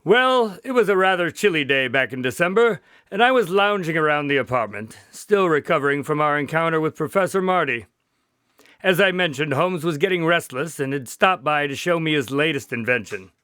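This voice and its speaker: A man's voice: a little high, expressive, a little fast, bright, forceful tone, clear, resonant tone, no room sound, clean studio sound. An actor playing a genial male doctor who recounts detective adventures in a radio drama, polite and wry. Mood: storytelling. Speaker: storyteller and narrator. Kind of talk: modern radio drama acting.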